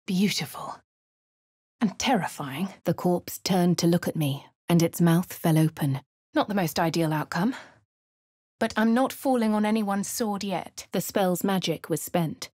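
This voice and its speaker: An actress playing a posh, composed fantasy adventurer in a video game, with a British accent and a refined, measured, dramatic delivery. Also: female voice, on the low side, darkish tone, no echo, studio quality. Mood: measured, wary. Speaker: voice actor for a video game player character. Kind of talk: scripted game voice lines and narration.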